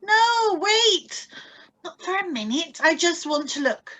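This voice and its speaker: A woman playing an eager, stubborn and excitable wife in a radio drama reading, wide-eyed and insistent. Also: very high-pitched, slow pace, full character voice. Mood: excited, suspenseful. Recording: live video-call recording.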